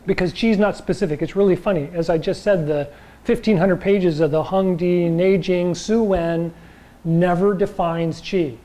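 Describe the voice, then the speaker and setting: A man teaching a chi gung and tai chi class in a loose, rambling way, with a slightly raspy voice and frequent check-ins with his listeners. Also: high-pitched, steady tone, moderate pace, dark tone. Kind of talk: casual class talk.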